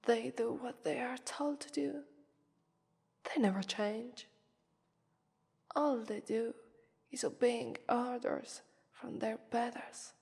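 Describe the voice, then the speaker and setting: A woman with an Italian accent voicing a haughty, taunting vampire mistress in an ASMR roleplay, breathy, condescending and often whispering. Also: fairly high pitch, slow, long pauses, a little mellow, heavily acted. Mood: mocking, taunting. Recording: long reverberant echo.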